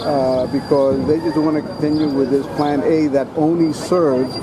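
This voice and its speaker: A man interviews protesters out on a city street, his voice pitched a little high. He talks in an opinionated, halting way full of fillers. Loud traffic noise fills the recording. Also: fairly even intonation. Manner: opinionated, hesitant with many fillers.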